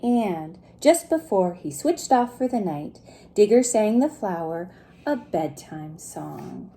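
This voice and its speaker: Gentle, mellow woman reading to young children. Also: medium pitch, very animated, slow pace. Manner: gentle, asks the listening children questions. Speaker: preschool story time reader. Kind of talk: expressive picture-book read-aloud for young children.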